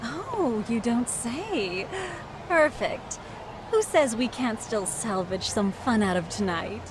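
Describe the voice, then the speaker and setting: A man-hungry flirt in a dubbed crime drama game. Her voice is fairly high and lilting, and she lingers coyly and playfully over her words. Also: lively intonation, noisy background. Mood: coy, delighted. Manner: coy, drawn-out delivery.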